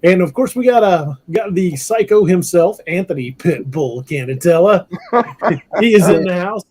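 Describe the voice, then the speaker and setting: A middle-aged man hosting a live podcast, rambling and laughing, his voice a bit hoarse from shouting. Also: sits high, lively intonation, moderate pace. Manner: rambling, self-interrupting, laughs often. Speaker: podcast host. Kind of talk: casual livestream chat. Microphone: headphones with mic on a video call.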